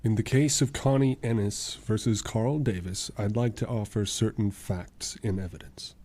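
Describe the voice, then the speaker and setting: A man playing a wry, self-sacrificing lawyer with a measured, formal manner in a radio drama. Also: fairly low pitch, dark tone, natural voice. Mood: dry, prosecutorial. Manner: measured, mock-courtroom formality. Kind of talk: old-time radio drama acting.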